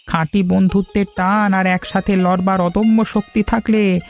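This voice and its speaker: A middle-aged village uncle from an animated story, played by a man, speaks in a high voice. He is earnest and effusive, a loyal, grateful friend with an excitable streak. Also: moderate pace, faint music behind. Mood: happy, admiring. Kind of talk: animated cartoon story acting.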